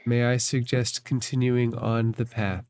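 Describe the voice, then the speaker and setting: A man's voice: mid-range voice, flat delivery, measured pace, darkish tone, clean tone, no room sound. A man acting as game master, narrating a fantasy roleplaying game in formal phrases and putting questions to the players.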